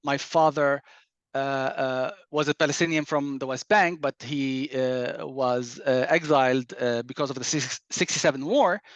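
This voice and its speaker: A man from Dubai, a panel speaker telling personal stories over a video call, pausing often with fillers. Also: mid-range voice, a bit bright, dry recording, highs cut off. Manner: hesitant, many uh fillers. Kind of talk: personal storytelling talk.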